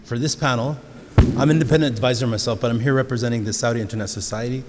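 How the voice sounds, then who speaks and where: Male panel moderator, mid-pitched, hesitant, reverberant hall with noise. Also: steady tone. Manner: halting, restarting phrases. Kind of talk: conference panel introduction. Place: large conference hall.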